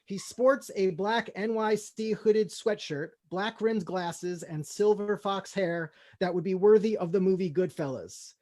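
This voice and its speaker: A man hosting a comedy table read, talking casually and reading out stage directions in deadpan style. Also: high voice, unhurried, hardly any pauses.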